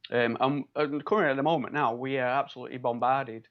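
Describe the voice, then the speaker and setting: A man with a Manchester accent talks as an interview guest, speaking earnestly with many ums and restarts. His pitch sits in the middle. The video call audio sounds dull and lo-fi. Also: fairly even intonation, quick pace. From Manchester, England. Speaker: guest, biomedical scientist. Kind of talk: casual interview talk.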